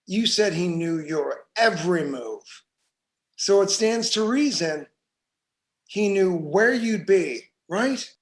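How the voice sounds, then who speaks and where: A man performs a hard-nosed, scornful detective in a crime drama interrogation. His voice sits high for a man and he speaks slowly, leaning into each accusation with sarcasm. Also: expressive, exaggerated voice. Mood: interrogating. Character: hard, scornful, bullying male police detective. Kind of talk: dramatic screenplay table read.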